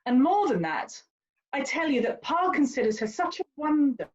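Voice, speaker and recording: A woman's voice: high voice, big pitch swings, normal speed, dull audio. A woman playing a haughty, worldly young lady in a period drama, with an emphatic, condescending, theatrical delivery. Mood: indignant. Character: haughty, worldly young English lady, teasing older sister. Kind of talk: stage-style period drama dialogue.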